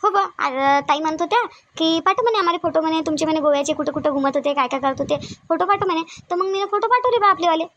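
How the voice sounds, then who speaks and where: A woman's voice: very high-pitched, fast talker, a bit bright, muffled recording, a little hiss or hum. A woman playing a naive, plaintive young wife in a family drama, with a Varhadi Marathi accent. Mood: concerned. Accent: Varhadi Marathi of Vidarbha. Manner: plaintive, innocent. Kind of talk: amateur family comedy-drama dialogue.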